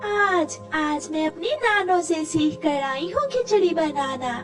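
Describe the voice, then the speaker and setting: A woman's voice: very high voice, animated, takes it slowly, pitched above the performer's own voice, heavily acted. A woman voicing a sweet little girl bird in a cartoon story, bubbly, childlike and eager. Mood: proud. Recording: distant mic with music behind.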